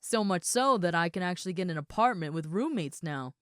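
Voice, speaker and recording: A woman playing a big, friendly ogre roommate in an audio roleplay, chatting casually and gently to the listener. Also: on the low side, animated, fairly quick, smooth, dry recording, studio quality. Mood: easygoing, chatty. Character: huge muscular red female ogre roommate, friendly and easygoing.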